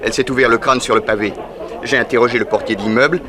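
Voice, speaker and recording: A fast, mid-range male voice plays a respectful policeman making his report performed by an actor. The delivery is businesslike 1960s French radio drama, with a bustling street crowd behind it. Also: mid-range voice, steady tone, natural voice. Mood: factual. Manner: brisk, respectful, factual.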